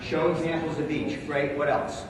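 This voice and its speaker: A man's voice: on the high side, flat delivery, normal speed. A man with a big voice giving a conference talk, speaking casually with many fillers and calling on the audience for answers. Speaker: conference presenter. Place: large conference hall. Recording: echoey hall, distant mic, room noise.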